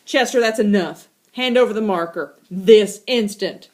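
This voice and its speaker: A woman voicing a fed-up author in a storybook who scolds her cat loudly and sternly. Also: on the high side, big pitch swings, unhurried.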